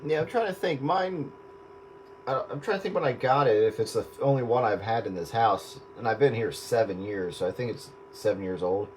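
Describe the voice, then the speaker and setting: A man's voice: medium pitch, a little fast. Hesitant, wry man on a staticky radio. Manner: hesitant, self-deprecating, wry. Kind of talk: casual radio chat. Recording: radio audio with clear static.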